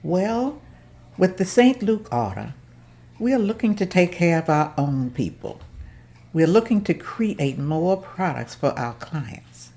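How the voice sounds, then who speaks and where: A woman's voice: lowish voice, sing-song, slow pace, mellow, heavily acted. A woman reading the part of a polite, determined secretary of a church order at a script table read, in an earnest, formal manner.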